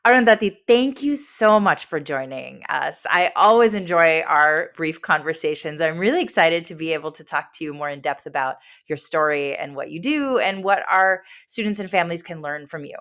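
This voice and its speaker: A woman's voice: low-pitched, lively intonation, normal speed, few pauses, a bit bright. A woman hosting an education podcast, introducing and interviewing her guest in an enthusiastic, encouraging way. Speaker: podcast host.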